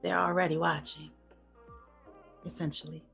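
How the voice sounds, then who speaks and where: Woman reading slow sexy poetry, soft n smooth, low husky fry, bit of music under. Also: level tone. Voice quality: soft, smooth, breathy-edged voice. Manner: hushed, slow sensual delivery with soft laughs in chat. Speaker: guest poet. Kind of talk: sensual spoken word poetry.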